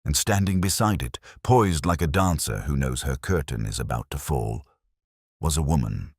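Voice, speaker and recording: A man's voice: very deep voice, expressive, normal speed, breathy edge, no echo, clean studio sound. A man reading a scripted story aloud as an audiobook narrator.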